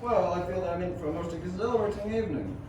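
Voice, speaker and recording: A man's voice: sits high, quick pace, a crowd in the background, close to an everyday voice. A young man playing a courteous, approving university professor in an amateur radio play, with formal, genteel phrasing. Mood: courteous, eager. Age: young adult. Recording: very echoey, distant, noisy.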